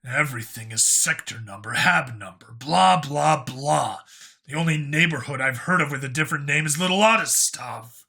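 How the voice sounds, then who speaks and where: A man's voice: on the high side, moderate pace, vocal fry, bright, forceful tone, breathy edge, exaggerated voice. A man voicing an irreverent human cop in a science fiction story, with a casual, sarcastic, grumbling manner.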